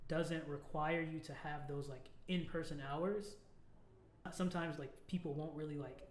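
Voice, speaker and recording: An American man with a slightly high voice chats informally as a podcast co-host, his speech peppered with "like". The room is noticeably echoey, and a faint noise sits behind him. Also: steady tone, normal speed. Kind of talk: casual podcast conversation.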